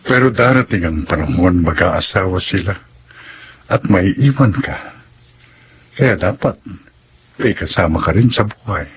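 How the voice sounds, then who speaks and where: An actor playing an earnest, persuasive middle-aged suitor in a Filipino radio drama. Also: male voice, low-pitched, lively intonation, a little slow, long pauses, slight background noise. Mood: earnest, wooing.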